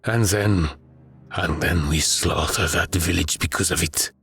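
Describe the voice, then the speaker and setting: A man's voice: sits low, a little slow, vocal fry, fairly bright tone, slightly rough, no room sound, faint music behind, full character voice. A man voicing a morose, broken dead soldier in an audiobook, with a heavy, mumbling delivery. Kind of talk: dramatic audiobook character voice.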